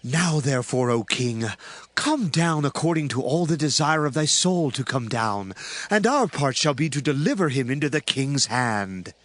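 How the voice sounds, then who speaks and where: A man's voice: medium pitch, animated, normal speed, close to an everyday voice. An actor playing an eager, obsequious informer in a dramatized audio Bible, declaiming formally and deferentially to a king.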